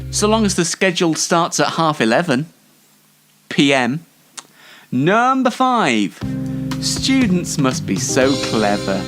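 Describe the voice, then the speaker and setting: Animated young British man, wryly sarcastic. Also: a little high. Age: young adult. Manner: wry, sarcastic, mock-formal. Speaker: host of an online entertainment show. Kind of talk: scripted comic monologue to camera.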